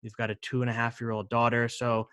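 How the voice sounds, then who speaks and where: A man's voice: medium pitch, monotone, fast, fairly bright tone, smooth, dry recording. A man hosting a podcast, talking in a friendly, chatty way with many fillers. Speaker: podcast host. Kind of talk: casual interview chat.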